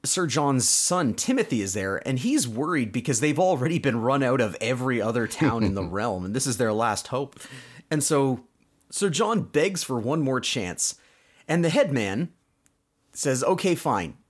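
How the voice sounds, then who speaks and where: A man's voice: medium pitch, expressive, normal speed. A man hosting a podcast, casually recapping a cartoon episode in a conversational way with explanatory asides. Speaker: podcast host.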